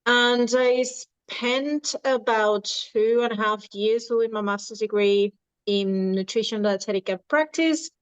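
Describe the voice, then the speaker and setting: A woman in her thirties from Venezuela, a dietitian and nutrition coach, answering podcast questions in unhurried, halting speech with many restarts. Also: a little high, clean tone, dry recording, muffled recording. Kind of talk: podcast interview.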